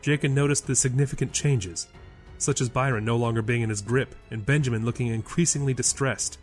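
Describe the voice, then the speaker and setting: A man's voice: medium pitch, a little fast. Man narrating dramatic fantasy over music. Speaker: storyteller.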